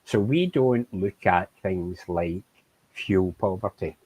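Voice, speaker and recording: A man who represents a charity, speaking in a measured, thoughtful way in an interview. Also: low-pitched, a little mellow, husky, low-quality recording. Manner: measured, thoughtful, asks questions. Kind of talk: conversational interview.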